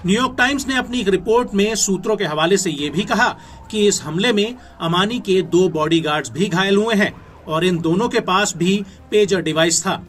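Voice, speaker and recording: High-pitched Indian male news narrator. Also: normal speed. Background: background music.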